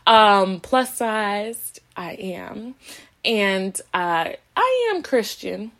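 A woman in her late twenties talks casually as a podcast co-host. Her delivery is playful and sassy, with slangy asides and repeated words for emphasis. Her voice sits at an ordinary pitch for a woman. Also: very animated, very slow, a bit bright. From Canada. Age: late 20s. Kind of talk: casual self-introduction, conversational podcast talk.

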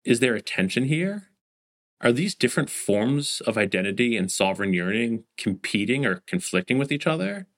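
A man's voice: mid-range voice, big pitch swings, moderate pace. A man hosting and narrating from a script, posing framing questions. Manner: poses framing questions to the listener. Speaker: host.

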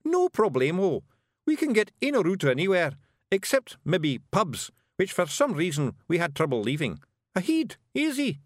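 Cocky high-pitched little Scottish fairy rogue. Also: male voice, wildly swooping pitch, normal speed, no room sound, clean studio sound, full character voice. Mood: cheerful confidence. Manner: exclamatory, brash. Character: boisterous, cheerful little male fairy clansman, cocky rogue.

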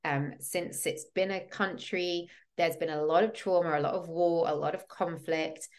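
Low-voiced woman host, reflective storyteller. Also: fairly even intonation, moderate pace. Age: 30s. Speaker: podcast host, women's coach. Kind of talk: personal storytelling monologue.

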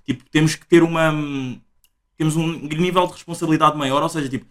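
A man's voice: a little high, steady tone, fairly bright tone. A young man with a European Portuguese accent hosting a casual podcast chat, full of filler words. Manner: rapid, uses filler words a lot. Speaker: podcast host.

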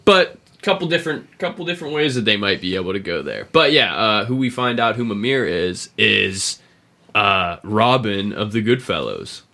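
A man hosting a podcast, explaining video game lore in a casual, rambling, sweary way, with a gravelly, husky voice. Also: on the low side, expressive, moderate pace, sharp tone. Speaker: podcast host.

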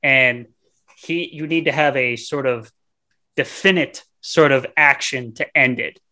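A man's voice: medium pitch, unhurried, sharp tone. A man co-hosting a podcast, casually retelling a movie in a rambling, slangy, profane way. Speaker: podcast co-host. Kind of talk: casual movie review banter.

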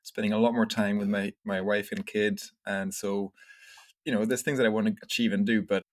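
Low-ish voiced guy in his 30s, grew up in france, laid back, lots of you know and like, answering podcast questions. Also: brisk. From Scotland, grew up in France. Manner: relaxed, reflective, many fillers like "you know" and "like". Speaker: podcast guest, entrepreneur. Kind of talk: casual interview.

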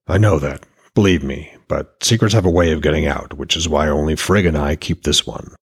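Commanding, resolute Allfather of myth, read by a man: deep, husky, grave authority. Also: a little fast, heavily acted. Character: commanding Allfather god, resolute husband and father.

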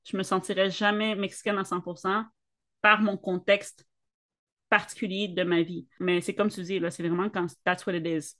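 A woman's voice: mid-range voice, moderate pace. A young woman co-hosting a casual conversation, speaking candidly and personally. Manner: candid, personal, animated when quoting others. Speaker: podcast co-host.

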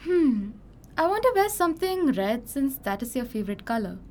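A woman's voice: high voice, very animated, normal speed, darkish tone. A young woman playing a discontented, reproachful wife who longs for luxury, in an amateur radio drama. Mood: softened, wistful. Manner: reproachful, sighing, dissatisfied. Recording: noisy amateur recording.

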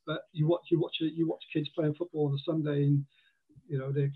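Welsh guy with a kinda mixed accent, husky, chatty, keeps restarting, sounds like a phone line. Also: fairly high pitch, little pitch movement, fairly quick. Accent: mixed Welsh and southern English. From Wales. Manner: chatty, many restarts and acted quotes. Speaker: podcast guest. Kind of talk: casual chat.

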